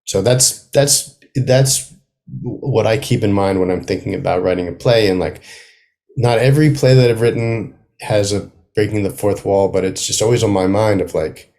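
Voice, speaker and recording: A man, a playwright, talking casually as an interview guest in a halting, thoughtful way, often trailing off mid-sentence. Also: on the low side, a little mellow. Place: remote video call.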